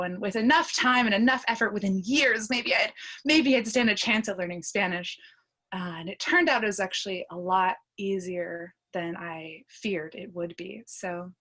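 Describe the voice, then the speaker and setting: A woman with an American accent speaks as a podcast guest. Her voice sits at a medium pitch, and she tells personal stories in a casual, conversational style. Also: a bit bright. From United States. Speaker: podcast guest, language learner.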